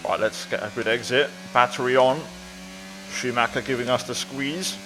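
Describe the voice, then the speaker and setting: A man's voice: medium pitch, steady tone, moderate pace, noisy background. Man commentating casually over his video game. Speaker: gaming video creator.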